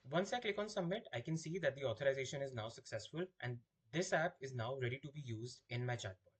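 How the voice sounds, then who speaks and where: Husky-voiced man demonstrating software. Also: mid-range voice, expressive, fast. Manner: explanatory, step-by-step. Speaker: product demonstrator. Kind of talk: software demo walkthrough.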